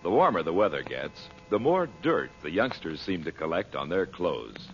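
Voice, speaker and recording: A man's voice: sits low, big pitch swings, normal speed. A man announcing for an old-time radio show, delivering a scripted sponsor message. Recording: dull old radio recording, music.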